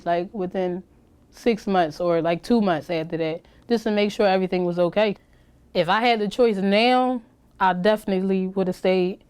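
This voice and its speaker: A woman's voice: medium pitch, a little mellow, smooth. A woman in her early twenties speaking casually in a documentary interview about leaving foster care, with many fillers. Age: early 20s. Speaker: former foster youth interviewee.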